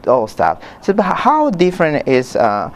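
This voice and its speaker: Higher-voiced man interviewing, non-native English. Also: wildly swooping pitch. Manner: halting, searching for words. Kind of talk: conversational on-location interview. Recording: noticeable background noise.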